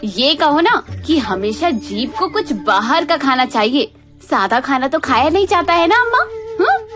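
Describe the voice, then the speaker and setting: A scornful young daughter-in-law from a cartoon moral tale, played by a woman. The voice is high and nagging, full of sarcasm. Faint music runs underneath. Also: sing-song, unhurried. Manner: sarcastic, sharp-tongued. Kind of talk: Hindi moral-story cartoon dubbing.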